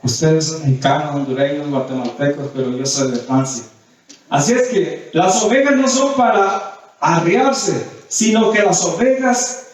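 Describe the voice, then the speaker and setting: A man's voice: high voice, a little mellow. A man preaching a sermon in a didactic way, reading scripture, questioning the congregation and repeating phrases for emphasis. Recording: very echoey hall, distant mic.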